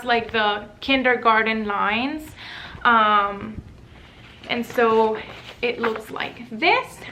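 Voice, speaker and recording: A woman's voice: fairly high pitch, sharp tone, a little hiss or hum, poor audio. A young woman with a Puerto Rican accent, whose first language is Spanish, casually showing off workbooks and rambling with lots of "um" and "like". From Puerto Rico. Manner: rambling, many "um" and "like". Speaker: homeschooling mom vlogger. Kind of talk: casual show-and-tell.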